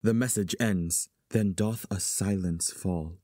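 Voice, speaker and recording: A man playing a solemn chorus, deliberately narrating a Shakespearean drama in verse. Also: sits low, dark tone, no room sound, clean studio sound.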